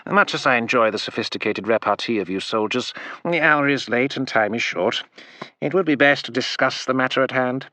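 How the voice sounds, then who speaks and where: A man voicing a smooth, ironic male imperial agent in an audiobook, speaking flatly with dry wit. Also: medium pitch, brisk, no echo, close to an everyday voice. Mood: wry.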